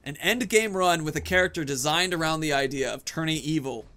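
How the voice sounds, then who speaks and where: A man's voice: fairly high pitch, fairly quick, background noise. A man in his thirties giving casual, chatty let's-play commentary, thinking aloud as he plays. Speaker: gaming video host. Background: video game sounds.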